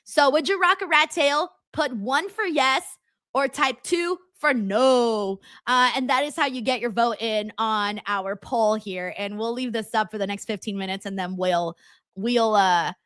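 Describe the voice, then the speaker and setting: A woman hosting a live stream, chatting casually with her viewers and reading out their comments, with a very high-pitched voice. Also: a little slow, a bit bright, no echo, clean studio sound. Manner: chatty, reads viewer comments aloud with filler words. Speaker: streamer and host.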